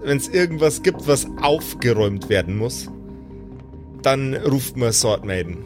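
A man narrating an improvised tabletop game as game master, measured and pausing mid-sentence. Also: medium pitch, expressive, music in the background.

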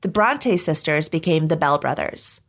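A woman hosting a podcast, reading scripted narration in a measured, articulate storytelling manner. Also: deep voice, lively intonation. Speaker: podcast host. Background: background music.

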